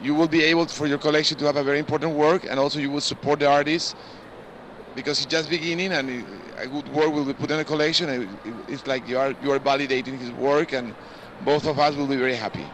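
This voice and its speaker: A man tells informal anecdotes as a collector. His voice sits a little high. Clear ambient noise from a busy hall surrounds him. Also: fairly even intonation, fast talker, fairly bright tone. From Peru. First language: Spanish. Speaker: art collector. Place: large indoor art fair hall.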